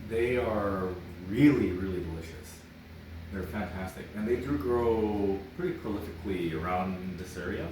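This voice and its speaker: A man's voice: lowish voice, moderate pace. A man chatting casually at home, eagerly pointing things out and asking questions. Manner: enthusiastic, explains things, asks many questions. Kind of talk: casual chat. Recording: roomy distant home recording with background noise.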